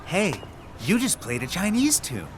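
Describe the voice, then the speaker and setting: An earnest young American searcher. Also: male voice, high-pitched, huge pitch swings, unhurried, noisy background, full character voice. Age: young adult. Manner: earnest and emotional, rising to pleading. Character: earnest young American raised in China, loyal and emotional. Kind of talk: audio drama acting. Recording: music playing underneath.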